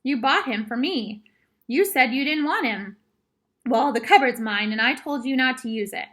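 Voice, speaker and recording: A woman's voice: a little high, expressive, normal speed, fairly bright tone. A woman voicing a sensible, determined young boy in a storybook, earnest and firm. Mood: exasperated.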